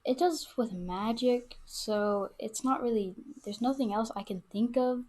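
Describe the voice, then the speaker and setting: A woman chatting casually as a podcast guest, informal and halting as she thinks aloud. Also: on the high side, a little mellow. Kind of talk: casual chat.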